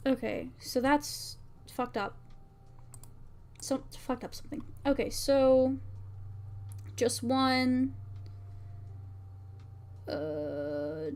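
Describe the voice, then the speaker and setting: Woman streamer, casual, slightly high pitch, very unhurried pace, thinking aloud, muttering while testing. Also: darkish tone. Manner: muttering to herself, reading out lines as she works. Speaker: VTuber streamer and game maker.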